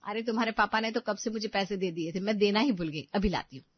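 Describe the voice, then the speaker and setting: A woman's voice: medium pitch, quick pace, highs cut off, close to an everyday voice. A woman voicing a kindly, coaxing middle-aged wife and mother in a moral story. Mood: agreeable.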